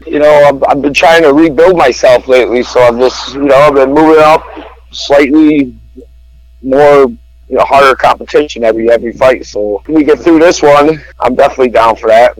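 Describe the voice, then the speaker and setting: A man's voice: medium pitch, a little fast, low-quality recording. Easygoing, hesitant man in his thirties. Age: 30s. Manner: easygoing, hesitant, self-effacing. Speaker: podcast guest, cage fighter. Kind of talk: casual chat.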